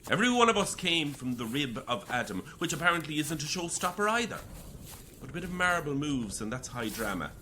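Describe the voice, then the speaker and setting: A man's voice: fairly high pitch, big pitch swings, fairly quick, bright, forceful tone, a little hiss or hum. A man acting an intense, prickly Irish priest and bereaved father in an audio drama, preaching and combative. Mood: wry, preachy.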